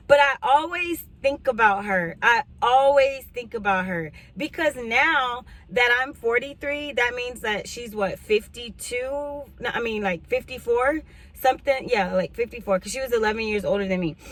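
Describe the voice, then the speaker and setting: A woman, chatting casually to camera as a couponing vlogger, rambling through asides and clearing her throat now and then. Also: fairly high pitch, normal speed, a bit bright, poor audio. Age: 40s. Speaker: couponing YouTuber.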